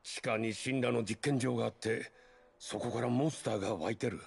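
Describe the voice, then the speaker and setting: A gruff, middle-aged slum vigilante boss in a video game, rough and gravelly in tone. He talks quickly and firmly, pressing his requests. His voice sounds husky. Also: mid-range voice. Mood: earnest. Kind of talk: Japanese video game voice acting.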